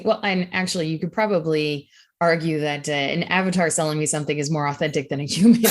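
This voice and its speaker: A woman co-hosting a podcast, asking a guest questions with admiring remarks. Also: deep voice. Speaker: podcast co-host. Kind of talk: interview questions.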